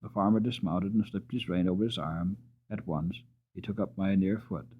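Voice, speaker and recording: A man's voice: low-pitched, breathy edge. A man reading a classic story aloud dramatically, stretching words for emphasis. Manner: expressive, drawn-out emphasis. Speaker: audiobook reader. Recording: tinny phone-like audio.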